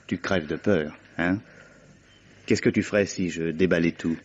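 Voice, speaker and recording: A man's voice: low voice, steady tone, a little slow, husky. An actor playing a shrewd, sardonic man in a radio mystery, speaking with biting, assured irony. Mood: sardonic, accusing. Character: shrewd, sardonic male friend of the accused. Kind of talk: French radio mystery drama acting. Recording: dull, muffled old radio recording.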